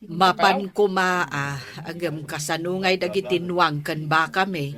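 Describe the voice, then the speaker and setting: A woman acting an unhurried, plain-spoken village neighbour in an Ilocano comedy drama, with an Ilocano accent. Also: on the low side, fairly even intonation, a crowd in the background, lightly acted. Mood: chatty, grumbling.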